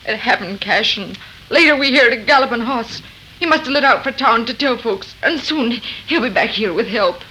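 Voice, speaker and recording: A woman's voice: a little high, expressive, moderate pace, bright, forceful tone, slight background noise, rough recording. An actress playing a plain-spoken, tense frontier woman, dramatic and earnest, in 1930s radio horror style on an old recording. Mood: earnest, steadying.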